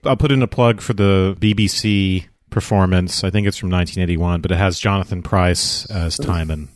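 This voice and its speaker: A man's voice: sits low, fairly even intonation, moderate pace. A man taking part in a casual panel discussion about literature. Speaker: panel participant.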